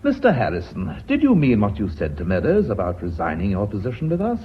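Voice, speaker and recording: An actor playing an authoritative, coolly confident British company chairman in a radio drama, speaking in a measured, formal, drily ironic way. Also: on the low side, huge pitch swings, slight background noise. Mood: formal, gracious. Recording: muffled vintage radio drama recording.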